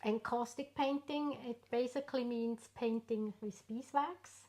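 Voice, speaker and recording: A middle-aged woman with a Swiss accent, English not her first language. She talks slowly, lingering on pauses and fillers as she shares her story. Her voice sits a little high. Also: steady tone. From Switzerland. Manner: unhurried, warm storytelling with frequent pauses and fillers. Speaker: artist guest. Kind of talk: casual interview.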